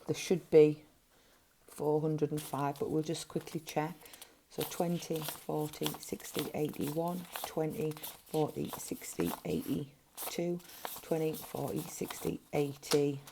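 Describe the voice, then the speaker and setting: A middle-aged woman with a gruff voice, chatting casually as a budgeting vlogger while counting money at a table at home. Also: deep voice, a little slow, background noise. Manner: chatty, counting notes under her breath. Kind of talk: casual cash-stuffing vlog narration. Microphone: overhead camera framing hands.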